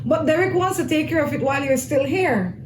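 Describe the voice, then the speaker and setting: A woman's voice: sits high, lively intonation, a little fast. A woman hosting a talk show, interviewing a guest and restating his answers to check them. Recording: echoey room with noise.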